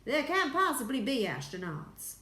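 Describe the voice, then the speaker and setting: A woman voicing a flustered, earnest male astronaut in a storybook, crying out emphatically in excitement. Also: high-pitched, normal speed, reverberant, pitched above the performer's own voice, heavily acted. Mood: amazed, insistent.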